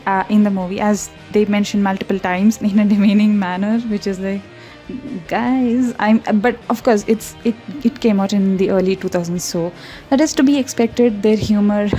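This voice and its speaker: A woman's voice: mid-range voice, mellow. Giggly, rambling young woman from India. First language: not English. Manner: rambling, chatty, frequent giggles and self-corrections. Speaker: art channel host. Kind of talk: casual voiceover over a speedpaint.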